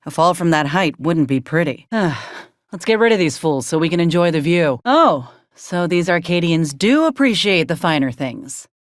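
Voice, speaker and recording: A woman's voice: fairly low pitch, lively intonation, moderate pace, dry recording, lightly acted. A woman playing a wry, plainspoken former combat medic in a video game, loyal to her company, with dry, sardonic, folksy phrasing.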